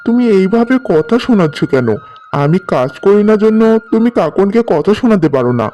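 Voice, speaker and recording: A man's voice: high voice, lively intonation, fairly quick, mellow. A man voicing a young village husband, protesting in a cartoon story. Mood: indignant. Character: jobless young husband protesting to his scolding mother. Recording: music bed under the voice.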